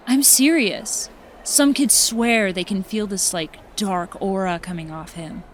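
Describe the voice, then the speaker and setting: A woman playing a protective, frank teenage high-school girl in a comic fan dub. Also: unhurried, a little hiss or hum, lightly acted. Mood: ominous, earnest.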